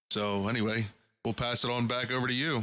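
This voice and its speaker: A man chatting casually as an amateur radio operator, his processed voice coming over a single-sideband radio link. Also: mid-range voice. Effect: single-sideband radio transmission with processed audio. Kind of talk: casual ham radio chat. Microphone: studio broadcast microphone. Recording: dull, band-limited shortwave radio audio.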